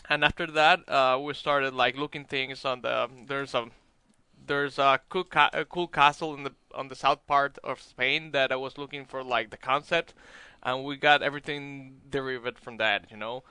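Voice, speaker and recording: A man with a Dominican accent talks casually as a guest on talk radio. His voice is bright and smooth, and the sound is close and dry. He hesitates often and restarts his sentences. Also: mid-range voice, normal speed, no echo. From Dominican Republic. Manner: relaxed, hesitant, many fillers and restarts. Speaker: cigar maker guest.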